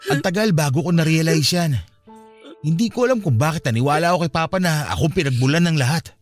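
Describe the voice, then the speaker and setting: A man's voice: on the high side, lively intonation, fast talker, soft background music, natural voice. A man with a Filipino accent playing an earnest, wounded young man, pleading emotionally in a radio drama. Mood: earnest, tender.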